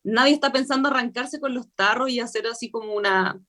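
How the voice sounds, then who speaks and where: A Chilean woman, a convention member, explaining her work fluently in a radio interview, with frequent filler pauses. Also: fairly high pitch, pushed and bright. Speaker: constitutional convention member, guest.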